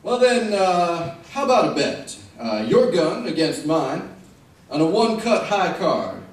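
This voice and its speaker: Actor as mild western marshal, male, hesitant, folksy, animated pitch, echoey far mic, radio play. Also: a little slow. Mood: hesitant, genial. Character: mild, hesitant but firm frontier marshal. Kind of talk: old-time radio western acting. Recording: very echoey room, distant mic.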